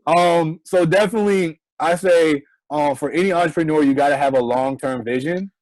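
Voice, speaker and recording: Young man, 20s, guest entrepreneur, earnest advice, mid pitch, dry close sound. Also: normal speed, darkish tone, no echo, rough recording. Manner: earnest, repeats "right?" as a tag. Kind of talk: online interview, motivational advice.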